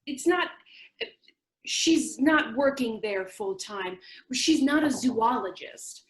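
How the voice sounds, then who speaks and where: A high voice in an echoey room: a woman acting an earnest zoo employee in a stage drama. She explains and defends herself. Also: animated, takes it slowly. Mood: defensive. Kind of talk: staged play reading.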